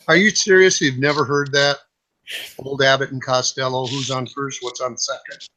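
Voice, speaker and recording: A man's voice: medium pitch, steady tone, slow, long pauses, sharp tone, poor audio. Fifties guy on a group call, blunt, swears a lot, tells stories. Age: 50s. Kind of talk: casual late-night group chat. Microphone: online group video call.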